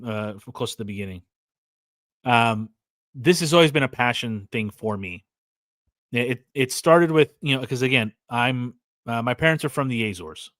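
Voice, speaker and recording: A man's voice: mid-range voice, a bit bright, no echo. An American man in his forties hosting a live podcast stream, chatting casually, rambling with fillers and restarts while reading out viewer comments. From California, USA. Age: 40s. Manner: rambling, lots of fillers and restarts, reads chat aloud. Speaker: podcast host. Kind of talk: casual livestream chat.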